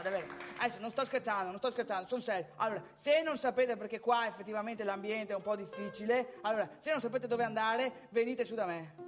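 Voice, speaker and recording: A woman playing an excitable, crude, boastful provincial man in a live comic theatre monologue, repetitive and chatty. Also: on the high side, lightly acted. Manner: repetitive, emphatic, chatty, addressing listeners directly. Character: excitable, crude, boastful male strip-club regular from provincial northeast Italy.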